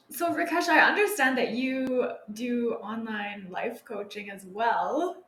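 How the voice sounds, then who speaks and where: A woman's voice: fairly high pitch, slow pace, fairly bright tone, reverberant, slight background noise. A woman podcast host asking her guest questions with enthusiasm. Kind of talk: interview questions.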